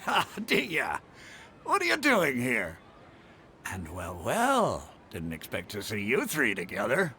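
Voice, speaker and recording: A man playing an easygoing, seasoned mercenary chief in a video game, gravelly and measured, as if confiding. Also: medium pitch, wildly swooping pitch, sharp tone, a little hiss or hum, heavily acted. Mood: surprised, friendly.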